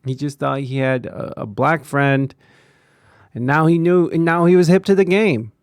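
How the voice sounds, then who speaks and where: A man's voice: a little high, steady tone, moderate pace, smooth, no room sound, clean studio sound. A man streaming live, commenting on clips with sarcastic asides and repeated phrases.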